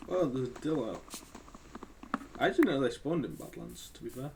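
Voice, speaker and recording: A man's voice: medium pitch, lively intonation, normal speed, gravelly, noisy background. A man losing his voice and coughing, giving casual, rambling gameplay commentary as a gaming channel host. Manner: rambling, hesitant, thinks aloud.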